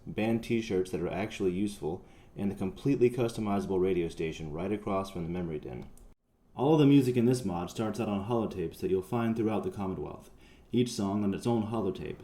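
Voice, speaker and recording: A man's voice: fairly low pitch, mellow, slight background noise. A man presenting his own project in casual, conversational promotional narration. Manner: informal, conversational.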